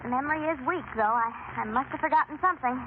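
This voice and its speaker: An actress playing a scatterbrained, gushing housewife in a 1930s radio comedy sketch, flustered and effusive. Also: female voice, high voice, very fast, close to an everyday voice. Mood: flustered, grateful. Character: scatterbrained, gushing housewife borrowing things from her neighbour. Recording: tinny, narrow, hissy 1930s radio recording.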